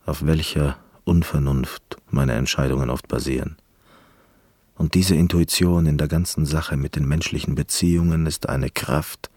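An actor playing an eccentric, reclusive concert pianist in a radio play monologue, speaking in an intense, deliberate, reflective way. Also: male voice, very deep voice, a little mellow, slightly rough, no room sound, studio quality, exaggerated voice. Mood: brooding.

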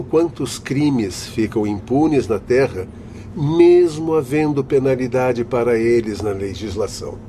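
A male actor plays a stern doctor of the spirit world in a radio play. His voice is mellow and mid-pitched, and he admonishes with formal, didactic emphasis over faint background noise. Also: natural voice. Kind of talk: radio drama acting.

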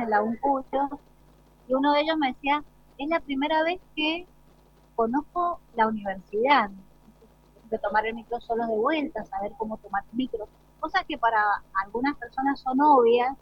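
An Argentine woman speaking as a school director in a spontaneous interview, earnest and explanatory. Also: fairly high pitch. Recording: dull lo-fi live radio recording.

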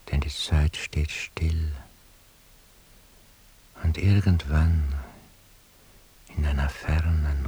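An actor playing a detached, dreamy man thinking to himself in a hushed, brooding literary radio monologue. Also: rumbling low, exaggerated voice. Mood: wistful, dreamy. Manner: hushed, slow, brooding, often sinking toward a whisper. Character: detached, dreamy man lost in his solitary inner thoughts. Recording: faint tape hiss.

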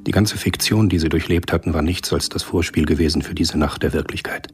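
A man's voice: low voice, a little fast, over music. A man reading scripted narration for a radio play.

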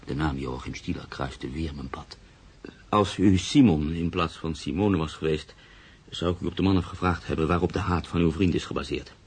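A man's voice: a bass voice, normal speed, a little mellow, breathy edge, exaggerated voice. An actor playing a proud, troubled middle-aged writer in a radio drama, formal and hesitant, brooding as he narrates. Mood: flustered, formal. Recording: radio play with music underneath.

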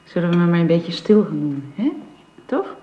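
Actress as gentle young governess, echoey room. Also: female voice, lowish voice, expressive, normal speed, lightly acted. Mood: soothing, motherly. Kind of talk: radio drama acting. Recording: muffled, roomy radio drama recording.

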